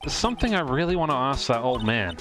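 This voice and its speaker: Guy doing young lawyer man in a game fan dub, earnest, kinda flustered, medium pitch, bg music. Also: sing-song, close to an everyday voice. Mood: coaxing. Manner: deliberate accusations, flustered hesitant asides. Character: earnest young male lawyer cracking a witness. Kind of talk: live fan dub of a visual novel game.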